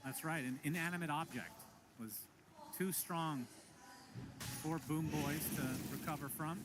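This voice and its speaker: A man casting a video game match play by play, quick and excitable in his calls. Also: fairly high pitch, heavy background noise. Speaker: play-by-play caster. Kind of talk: esports play-by-play commentary. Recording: broadcast commentary over loud game music.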